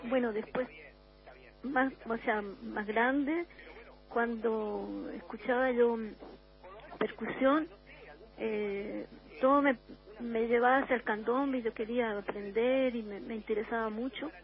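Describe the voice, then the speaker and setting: An older woman from Uruguay with a Chilean accent, a musician guest on a radio interview, talking in a reflective, unhurried way with many restarts. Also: on the high side, steady tone, muffled recording, soft background music.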